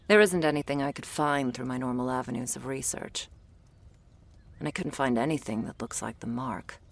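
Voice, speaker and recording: A woman playing a jaded, prickly monster hunter in a roleplay audio drama, blunt and sardonic, with a low gravelly voice. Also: exaggerated voice. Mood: grudging, weary.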